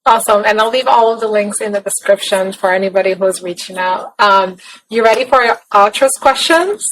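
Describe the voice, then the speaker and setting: A woman's voice: medium pitch, a bit bright, slight background noise, low-quality recording. Woman podcast host on a video call, upbeat, faint clicks in the background. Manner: upbeat, quick affirmations. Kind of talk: casual interview over video call.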